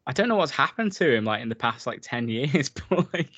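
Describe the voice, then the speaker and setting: A man's voice: mid-range voice, sing-song, brisk, fairly bright tone, breathy edge. Chatty man, slightly dull recording. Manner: enthusiastic, chatty, laughs often. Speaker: podcast co-host. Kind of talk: casual film recap chat.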